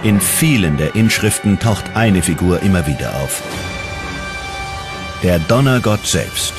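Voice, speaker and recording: A man narrating a documentary from a script, with dramatic, measured storytelling. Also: low-pitched, animated. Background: music.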